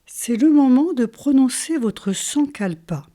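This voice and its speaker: A woman leading a guided yoga nidra relaxation as a teacher, calm and soothing, in short phrases with pauses. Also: high-pitched. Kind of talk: guided relaxation meditation, instructional.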